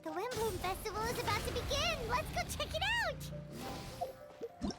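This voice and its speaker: A woman voicing a tiny, cheerful, childlike companion character in a video game, with a squeaky, excitable delivery. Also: normal speed. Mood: excited. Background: game music.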